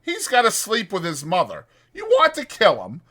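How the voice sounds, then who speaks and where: A man voicing an exasperated but protective ranch hand in a dramatized audiobook, blunt and scolding, with a rough folksy drawl. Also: high voice, huge pitch swings, moderate pace, pushed and bright, pitched above the performer's own voice, full character voice. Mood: scolding, firm. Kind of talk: dramatized audiobook character acting.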